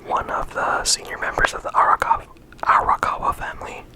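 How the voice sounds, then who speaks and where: An ASMR creator giving a whispered, unhurried character discussion, often pausing and restarting mid-sentence. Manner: unvoiced whisper throughout.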